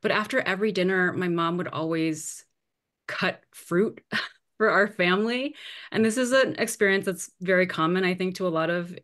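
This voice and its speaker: A woman in her thirties, from Malaysia, talks casually as a guest author on a video call. Her voice sits on the low side, and she is friendly and quick to laugh. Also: fairly bright tone. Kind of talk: casual conversation. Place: at home in the evening.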